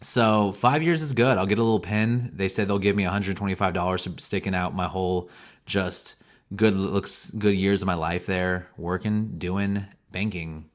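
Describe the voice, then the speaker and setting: A man's voice: low voice, steady tone, normal speed. A young man from Missouri with a Missouri accent, hosting a solo podcast in a rambling, self-deprecating monologue. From Missouri, USA. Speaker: podcast host.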